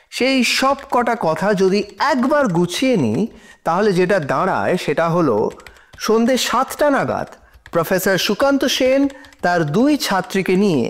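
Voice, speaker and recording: A man playing a shrewd, composed defense lawyer in an audio drama, questioning deliberately with pauses for effect. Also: high-pitched. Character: shrewd male defense lawyer cross-examining in court, probing, composed. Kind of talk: audio drama courtroom acting.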